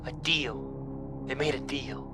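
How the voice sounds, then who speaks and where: An actor in a horror audio drama playing a sad, frightened young ghost girl who talks haltingly and repeats things like a child. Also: medium pitch, fairly even intonation, music in the background, lightly acted. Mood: distressed, pleading. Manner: halting, repetitive, childlike speech.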